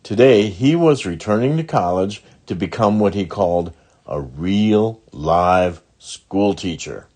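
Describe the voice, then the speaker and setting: A man reading a children's chapter book aloud in an expressive storytelling style. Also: deep voice, slow pace. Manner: acts out character dialogue. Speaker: audiobook reader.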